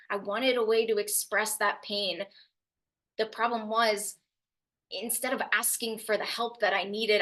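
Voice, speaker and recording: Young woman, bright voice, gravelly edges, earnest personal storytelling, youth speaker. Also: medium pitch. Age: young adult. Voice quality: loud voice. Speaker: youth mental health speaker. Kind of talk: personal story told to an audience.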